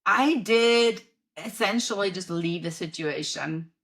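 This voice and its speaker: A woman's voice: mid-range voice, measured pace, vocal fry, a bit bright. A woman from Germany with a German accent, a coach telling a story as a guest on a video-call interview and acting out her own reactions. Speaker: guest, business and wellness coach. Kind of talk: casual interview talk.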